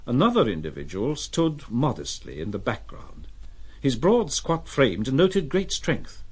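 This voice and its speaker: A man acting as narrator of a literary adventure drama, telling it in a measured, descriptive way with a husky voice. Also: medium pitch, big pitch swings. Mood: measured, vivid.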